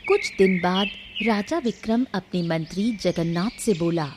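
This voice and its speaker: A woman's voice: on the low side, a little slow, background noise. A woman narrator reading a scripted story.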